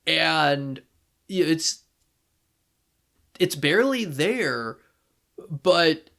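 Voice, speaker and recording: Higher-pitched man in casual discussion. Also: animated, gravelly, sharp tone. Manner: halting, thinking aloud. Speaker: podcast co-host.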